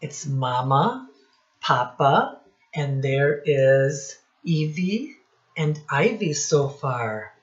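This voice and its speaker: A woman teacher reading a storybook aloud to young children in a deliberate, instructive way, pausing to ask them questions. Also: deep voice, sing-song, muffled recording.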